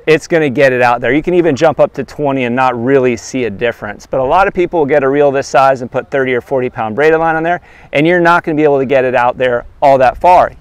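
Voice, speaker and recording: A man in his thirties, a fishing guide, showing his gear outdoors by a lake and talking straight to the camera in a relaxed, practical way. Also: mid-range voice, smooth, no echo. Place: outdoors by a lakeshore. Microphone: lapel mic.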